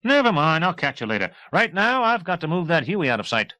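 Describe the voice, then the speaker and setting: A man's voice: fairly high pitch, big pitch swings, a little fast, pushed and bright, no room sound, pitched above the performer's own voice. A man voicing a cocky, grinning American helicopter pilot with breezy, slangy banter in an audiobook. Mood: breezy, excited.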